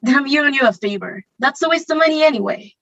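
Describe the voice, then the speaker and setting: A woman's voice: high-pitched, expressive, a little fast, fairly bright tone, lo-fi sound. A woman playing a selfish, bullying stepfather in a theatre table read, grumbling and demanding with open contempt. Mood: contemptuous. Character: selfish, boorish, bullying stepfather, grown man.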